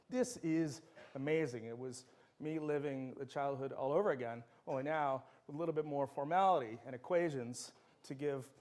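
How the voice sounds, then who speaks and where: A man's voice: medium pitch, a little mellow. A middle-aged man, a native Dutch speaker, giving an enthusiastic university lecture full of anecdotes. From Netherlands.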